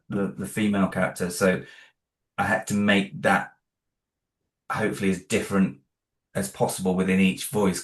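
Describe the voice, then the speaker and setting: A man being interviewed about the audio drama he created, hesitant, with many fillers and restarts. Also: deep voice. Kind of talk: interview guest.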